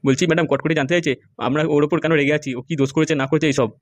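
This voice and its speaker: A woman's voice: deep voice, steady tone, racing, no room sound, lightly acted. A woman playing a dutiful underling in a comedy cartoon, reporting to the boss in a deferential way. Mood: deferential, matter-of-fact. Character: dutiful underling relaying worried news to a madam.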